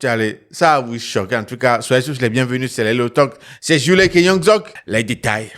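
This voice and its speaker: A man's voice: medium pitch, normal speed, background noise. A man with a West African French accent hosting an online talk show, telling stories emphatically, repeating phrases and punctuating them with questioning tags. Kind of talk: animated storytelling commentary to viewers.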